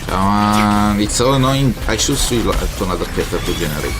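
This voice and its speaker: Low-voiced man giving casual game commentary. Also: animated, fairly quick. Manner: thinks aloud, mildly complaining. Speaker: gamer streaming a playthrough. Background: game music.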